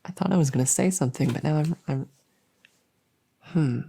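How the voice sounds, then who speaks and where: A woman playing an anxious, overworked but friendly monster under the bed in a comedic ASMR roleplay, rambling and stammering softly, often slipping into a whisper. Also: low voice, normal speed, vocal fry, husky, heavily acted. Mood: hesitant, affectionate. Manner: rambling, nervous, stammering and repeating herself.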